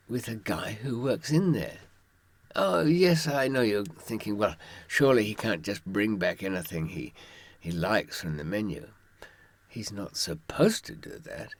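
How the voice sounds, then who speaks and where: A man with a very low, husky voice talks informally, as if sharing hard-won advice. His intonation moves widely, and his wry, dry asides go straight to the listener. The sound is close and dry. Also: no room sound. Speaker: author giving prison survival advice from experience. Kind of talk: informal monologue with anecdotes.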